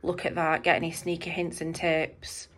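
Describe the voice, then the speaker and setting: A British woman hosting a casual chat, asking questions in a soft, quiet voice. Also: sits low, monotone, moderate pace, crowd noise. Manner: soft-spoken, light questioning. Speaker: host.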